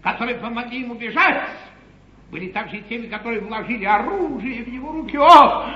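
An actor playing an eloquent, ironic English lord in a classical 1950s stage comedy, declaiming grandly. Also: male voice, extremely high pitch, fairly quick. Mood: declamatory indignation. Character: eloquent, theatrical English lord, an opposition politician. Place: theatre stage. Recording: dull 1950s live theatre recording, echoey hall, hiss.

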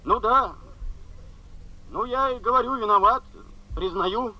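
An actor playing a flustered, apologetic railway official with halting, bureaucratic speech in a radio drama. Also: male voice, extremely high pitch, dull audio. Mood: flustered, excusing. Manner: hesitant, halting, bureaucratic wording. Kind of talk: 1970s Soviet radio drama acting.

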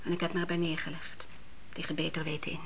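An actress playing a God-fearing older widowed mother in a biblical radio play, speaking solemnly and gravely in grief. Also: low voice, level tone, fast, slight background noise, rough recording. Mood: grief-stricken, solemn. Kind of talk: Dutch radio play acting.

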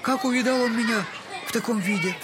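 High-voiced, servile, humiliated father. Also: brisk, over a noisy crowd, heavy background noise. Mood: agitated, wounded. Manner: servile, old-fashioned, wordy, self-mocking speech. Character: humiliated, buffoonish impoverished father, bitter and tender. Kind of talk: Russian literary audio drama acting.

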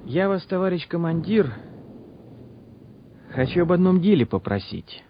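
An actor playing a quiet young soldier who talks haltingly and puts on bravado before battle, in a Soviet radio drama. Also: male voice, sits high, very animated, full character voice. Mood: wistful pleading. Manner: halting, repeats himself. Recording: muffled old radio drama recording, noisy.

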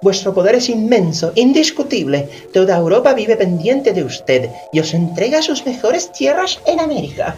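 An actress playing an obsequious courtier who gushes flattery and fusses over a queen in a theatrical radio drama. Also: mid-range voice, normal speed, few pauses, lightly acted. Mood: flattering, eager. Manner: obsequious, gushing, fussing. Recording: music bed behind.

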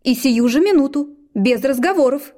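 A woman voicing a bossy, shrill peasant mother in a fairy-tale reading, scolding and exclaiming. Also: lively intonation, moderate pace, warm tone. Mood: commanding. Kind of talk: storybook reading.

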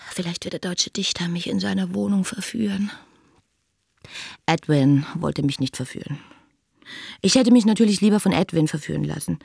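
Romantic young tourist, breathy and creaky. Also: female voice, on the low side, darkish tone, no echo, studio quality. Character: young female tourist, romantic, hungry for adventure. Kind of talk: radio play interior monologue.

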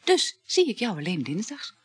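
A woman's voice: mid-range voice, wildly swooping pitch, normal speed, slightly rough, heavily acted. An actress playing a flighty, teasing, sensual woman with a lover in a Dutch radio drama, murmuring playfully and capriciously. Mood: coy, dreamy. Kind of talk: Dutch radio drama acting, intimate dialogue.

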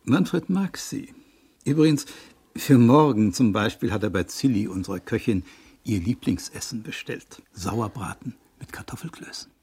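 An actor playing a genteel older small-town pharmacist in a 1960s radio play, formal and deferential, with a gravelly, husky voice. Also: male voice, fairly low pitch, expressive, normal speed, darkish tone, slight background noise. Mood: businesslike.

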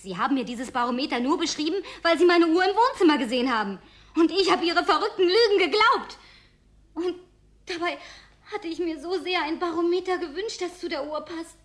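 A woman's voice: extremely high pitch, expressive, fairly quick. An actress playing Linda, a lonely, genteel woman in a German radio drama, wistful, suspicious and easily wounded, speaking formally and expressively. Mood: hurt, upset. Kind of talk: German radio drama acting, naturalistic dialogue.

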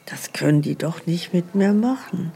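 A woman playing an anxious, forgetful elderly care-home resident in a radio play, hesitant and plaintive, with a creaky voice. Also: fairly low pitch, animated, moderate pace, mellow.

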